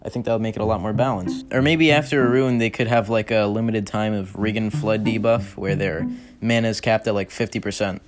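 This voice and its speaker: A man's voice: fairly low pitch, steady tone, a little fast. A young man giving blunt, slangy, exasperated opinions in a gaming commentary voice-over. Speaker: gaming video creator. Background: music.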